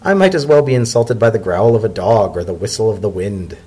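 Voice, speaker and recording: A man voicing an elderly female zebra scholar-diplomat in a storybook reading, genteel, shrewd and indulgent, with measured, formal, gently wry speech. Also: fairly low pitch, animated, husky. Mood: cool, dismissive.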